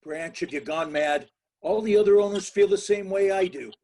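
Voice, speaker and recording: A man's voice: high-pitched, normal speed, darkish tone, close to an everyday voice. A man playing an indignant, pushy baseball club owner in a dramatic script reading.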